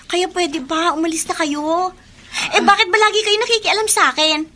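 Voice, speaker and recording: A very high, bright and piercing Filipino teenage girl for a radio drama. She is haughty and spiteful, snapping with open scorn and irritation. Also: moderate pace. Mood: irritated, dismissive. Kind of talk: Tagalog radio drama acting.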